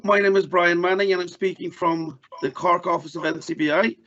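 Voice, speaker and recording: An Irish man hosting a podcast in a casual, halting way, often restarting his sentences. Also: high-pitched, fairly even intonation, fairly bright tone. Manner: halting, with many restarts and fillers. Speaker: podcast host. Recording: dull, lo-fi audio.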